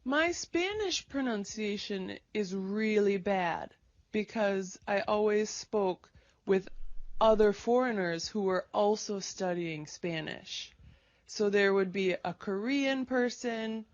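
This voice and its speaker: A woman talks slowly and clearly through an easy conversation for learners, asking plain questions. The recording is dull and lo-fi, dry and close. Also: mid-range voice, dry recording. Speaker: conversation partner in English lesson. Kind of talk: slow, simple conversation for learners.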